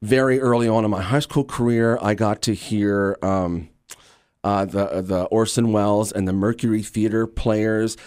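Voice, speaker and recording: A man from Louisiana speaking as an actor guest in an interview, telling stories in an enthusiastic, conversational and animated way. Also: fairly low pitch, moderate pace, smooth. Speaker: interview guest, actor and radio drama creator.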